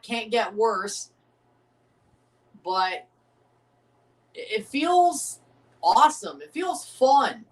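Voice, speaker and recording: Male streamer, casual, rambling watchalong chat, slightly scratchy voice, mic at a distance. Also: very high-pitched. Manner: rambling, excitable, repeats himself. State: voice getting scratchy from overuse. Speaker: streamer hosting a match watchalong.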